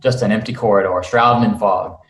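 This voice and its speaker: A man's voice: lowish voice, steady tone, a little fast, fairly bright tone. A man reading stage directions aloud as screenplay narration at a cast table read. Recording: echoey room.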